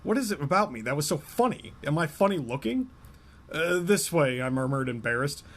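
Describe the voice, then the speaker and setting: A man doing a mocking, deadpan reading of a romance novel, often breaking off into laughter and asides. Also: on the high side, fairly quick. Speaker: podcast co-host reading a novel aloud.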